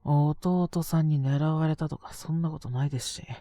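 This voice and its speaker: A man's voice: a little high, steady tone, moderate pace, mellow, no echo, studio quality, natural voice. A man playing a sick, sleepy young boyfriend in an audio drama, grumbling casually in a murmur, exasperated yet fond. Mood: exasperated, scolding. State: coughing, acting sick with a cold. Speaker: voice actor. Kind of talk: Japanese situation voice drama aimed at listeners.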